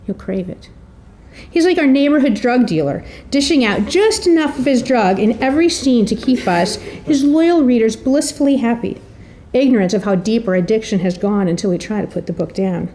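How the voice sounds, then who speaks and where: A woman's voice: on the high side, lively intonation, normal speed, dark tone, poor audio. A middle-aged woman presenting a prepared introduction, telling stories in an articulate, wry and slightly theatrical way. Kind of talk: prepared introductory speech with storytelling.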